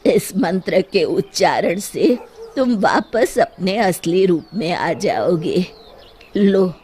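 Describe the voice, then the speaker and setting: Woman as a slow, solemn wise holy man. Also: fairly low pitch, lively intonation, a little mellow. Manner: solemn, measured instruction. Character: calm, wise male holy sage advising villagers. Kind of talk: children's story character acting.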